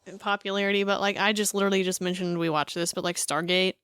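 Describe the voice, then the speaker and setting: A man co-hosting a casual podcast, thinking aloud with hesitant pauses and fillers. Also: high voice, little pitch movement, pushed and bright, smooth, no echo, studio quality. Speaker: podcast co-host. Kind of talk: casual chat.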